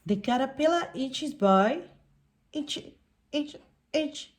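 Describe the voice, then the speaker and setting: A woman reading a picture book aloud to young children in an expressive way, putting on animal sounds. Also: high-pitched, slow.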